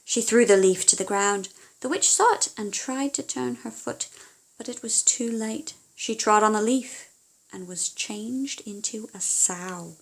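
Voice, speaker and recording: A woman narrating a children's fairy tale as an audiobook reader, theatrical and measured with deliberate pauses. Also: on the high side, low-quality recording.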